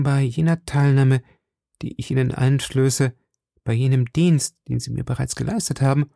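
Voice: medium pitch, vocal fry, warm tone, dry recording, studio quality, pitched above the performer's own voice. A narrator voicing an earnest, anxious young woman with secrets, speaking formally and pleadingly in an audiobook. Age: young adult. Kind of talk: audiobook character dialogue.

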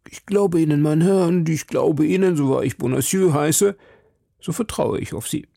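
A man's voice: a little high, normal speed, vocal fry, mellow, dry recording, studio quality, pitched above the performer's own voice. A man voicing a timid, deferential middle-aged landlord in an audiobook, hesitant and formally polite. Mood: nervous, deferential. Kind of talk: audiobook character dialogue.

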